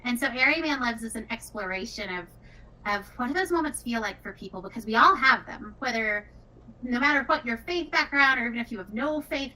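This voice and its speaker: A woman's voice: fairly high pitch, bright, forceful tone. A woman talking casually and earnestly as a game developer in an interview, reflecting as she goes. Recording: distant mic, faint background noise.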